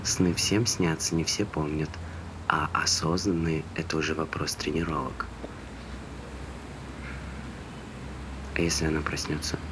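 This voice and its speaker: A very low-voiced man as an eager, dreamy pal, played by an actor. His speech is casual and slangy, gently persuasive, in stage-play dialogue. The recording carries clear background noise. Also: level tone, moderate pace. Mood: eager, confiding. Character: eager, dreamy male friend, amateur songwriter. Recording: noisy stage recording with ambient sound.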